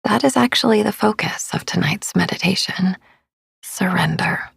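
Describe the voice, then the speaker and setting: Breathy, creaky woman guiding sleep meditation. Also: lowish voice, no echo, studio quality. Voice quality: breathy, husky with vocal fry. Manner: soothing, hushed, often near-whispered, drawn-out pauses. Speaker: podcast host, meditation guide. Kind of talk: guided sleep meditation narration. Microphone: close studio microphone, dry.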